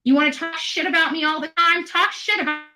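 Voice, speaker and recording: Combative, high, sharp-voiced woman ranting. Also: fairly even intonation, quick pace. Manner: combative, profane, defensive. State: tired. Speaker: livestreamer venting at online critics. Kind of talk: angry unscripted livestream rant.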